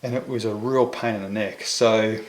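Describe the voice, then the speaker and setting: A man's voice: lowish voice, fairly even intonation, moderate pace, echoey room. A man giving a casual, step-by-step product review to camera in an informal, explanatory way. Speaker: motorcycle vlogger presenting a gear review.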